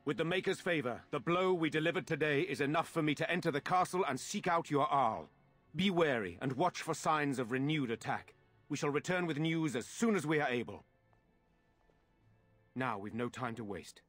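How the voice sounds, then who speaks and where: An actor playing an earnest, noble lord in a fantasy video game, speaking in formal, measured oratory. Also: male voice, a little high, lively intonation, fairly bright tone, soft background music. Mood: solemn gratitude. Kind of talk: dramatic video game acting.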